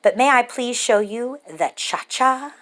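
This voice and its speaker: A woman plays an eager young animal heroine from a picture book. She is curious and determined, speaking with wondering emphasis. Her delivery is unhurried and clearly aimed at small children. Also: a little high, lively intonation, a bit bright.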